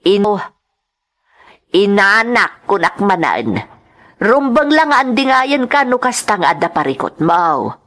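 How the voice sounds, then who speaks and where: A woman's voice: medium pitch, very animated, a little slow, pauses often, a bit bright. A woman playing a concerned village mother in a radio-style drama, coaxing and fussing, with an Ilocano accent.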